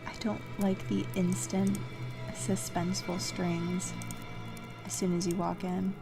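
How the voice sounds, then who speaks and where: Female game streamer, unhurried and casual, thinking out loud in halting bursts, with clear music underneath. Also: on the low side, flat delivery. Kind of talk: casual livestream game commentary.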